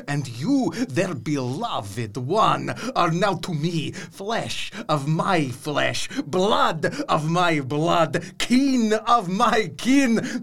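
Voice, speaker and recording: An actor playing an ancient, imperious vampire count who speaks in a grandiose, commanding manner in an audio drama. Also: male voice, fairly high pitch, creaky, fairly bright tone, full character voice. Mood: gloating, commanding.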